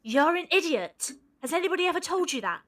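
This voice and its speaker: A woman playing a coolly rational, sharp-tongued scientist with a precise, pedantic manner in an audio drama. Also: very high-pitched, very animated, quick pace, exaggerated voice. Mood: exasperated.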